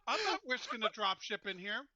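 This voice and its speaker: A man's voice: sits high, very animated, fairly quick, sharp tone, dry recording, highs cut off. A man playing a tabletop roleplaying game, chatting casually in a blunt, emphatic, sweary way.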